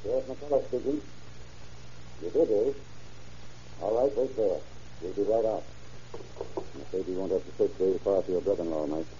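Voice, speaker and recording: An actor playing a steady, businesslike county sheriff in an old-time radio crime drama, questioning in a measured, matter-of-fact way. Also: male voice, sits low, lightly acted. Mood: brisk. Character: steady, businesslike male county sheriff leading a murder case. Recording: dull, noisy vintage radio recording.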